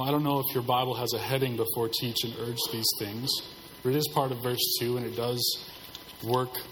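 A hoarse man delivers a sermon as pastor in a measured, subdued way, sparing his strained voice. His voice sits at a middling pitch and carries a long reverb from the hall, with faint noise behind him. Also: a bit bright.

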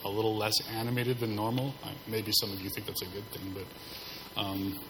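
A hoarse man preaching a sermon as pastor, keeping a measured, subdued delivery to spare his voice. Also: fairly low pitch, flat delivery. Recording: hall reverb, faint background noise.

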